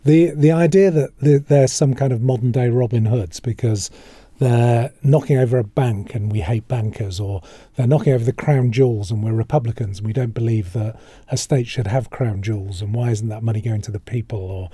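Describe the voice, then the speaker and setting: Middle-aged male ex-police co-host, dark husky voice, frank and matter-of-fact. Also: medium pitch, moderate pace, dry recording. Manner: blunt and opinionated. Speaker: former police officer, co-host. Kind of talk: casual chat.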